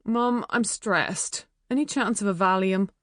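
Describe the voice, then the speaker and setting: A woman's voice: mid-range voice, unhurried, a bit bright, dry recording, studio quality, close to an everyday voice. A woman voicing a blunt, scornful, dramatic Irish woman in her late twenties in an audiobook novel. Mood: weary, complaining. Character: scornful, world-weary woman of twenty-nine, private investigator.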